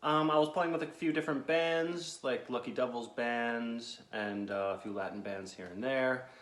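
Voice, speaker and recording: American guy guest, casual chat, average pitch, roomy echo. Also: moderate pace, a bit bright. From Missouri, United States. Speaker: interviewed guest, musician.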